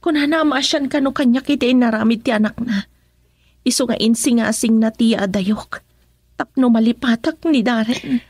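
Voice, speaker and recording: A young Ilocano daughter in a radio drama, gentle and devoted to her father. Her voice sits very high, and the sound is dry, with no room around it. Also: normal speed, slightly rough, no room sound. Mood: earnest, sad.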